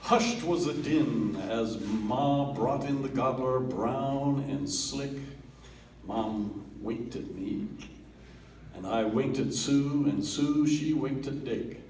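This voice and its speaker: A man giving a dramatic reading of a comic poem at a family gathering, theatrical, with swells and hushed drops. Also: medium pitch, slow pace, slight background noise. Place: family holiday gathering in a room. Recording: roomy, distant home video.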